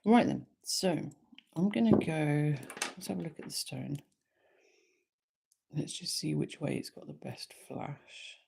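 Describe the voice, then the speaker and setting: A woman with a slightly croaky voice from a sore throat, hosting a relaxed live wire-wrapping tutorial from her workshop. She chats easily and thinks aloud with frequent ums. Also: sits low, measured pace, long pauses.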